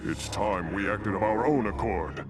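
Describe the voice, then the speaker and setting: Guy doing a low solemn alien warrior leader, calm, noble, game cutscene with music under it. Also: lightly acted. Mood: grave, resolute. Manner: formal, solemn, measured. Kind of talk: video game cutscene acting.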